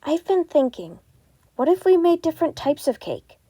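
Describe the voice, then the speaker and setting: A woman acts out a friendly young female wizard for a fantasy podfic. Her voice is highish and soft-edged rather than bright, close and dry. She talks in a chatty, earnest, slightly sheepish way. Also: sing-song, normal speed, no echo. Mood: hopeful, sheepish. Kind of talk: amateur podfic character reading.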